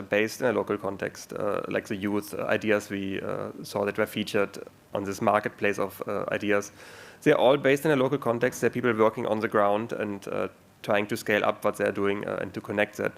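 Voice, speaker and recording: A man speaking off the cuff as a conference panel moderator, pausing often mid-sentence to find his words. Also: lowish voice, steady tone, creaky. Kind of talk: unscripted panel discussion. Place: live conference event.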